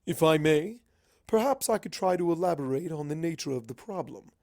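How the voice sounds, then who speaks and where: A man's voice: fairly high pitch, lively intonation, a little fast, gravelly, darkish tone, no echo, studio quality. A man voicing a courteous, scholarly male pony wizard from the past, formal and explanatory, in a story reading. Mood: keen, explaining.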